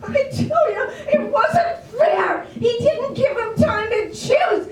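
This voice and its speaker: A shrill, very high-voiced housewife played by a woman protests in indignant, insistent bursts, her pitch swinging widely. It is amateur stage acting, heard from a distance in an echoey room. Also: animated, takes it slowly, a little hiss or hum, exaggerated voice. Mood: indignant, protesting. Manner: insistent, repetitive pleading. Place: library room, staged performance. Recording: roomy, distant mic in hall.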